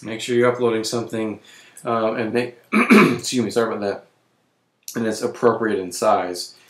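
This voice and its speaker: A man with a voice that is giving out, who clears his throat often and keeps correcting himself while walking through software on screen. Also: fairly low pitch, steady tone, moderate pace, roomy sound. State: losing his voice. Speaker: web tutorial presenter. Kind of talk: screen-recorded software walkthrough.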